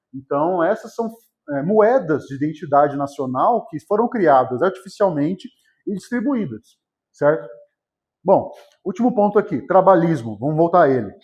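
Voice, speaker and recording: A man's voice: on the high side, a little mellow, lo-fi sound. A man with a Brazilian accent from São Paulo state, giving an informal exam-prep history lecture in a colloquial way, often checking in with short tag questions. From São José dos Campos, Vale do Paraíba, Brazil. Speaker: history teacher.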